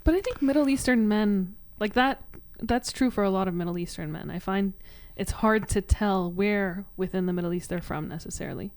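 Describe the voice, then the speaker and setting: A woman's voice: mid-range voice, a little mellow. Woman co-host, chatty and engaged. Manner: engaged, quick to laugh and react. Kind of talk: casual chat. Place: studio.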